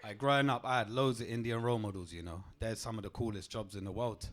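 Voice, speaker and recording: A young man, doing a stand-up comedy set on a club stage with a deadpan, punchy delivery. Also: quick pace. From England. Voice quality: deep voice.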